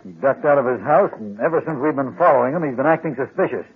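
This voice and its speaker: An actor playing a brash, impatient police inspector who talks bluntly and emphatically in a 1940s radio drama. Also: male voice, mid-range voice, animated, fast talker, natural voice. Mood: suspicious. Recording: muffled, scratchy 1940s radio recording.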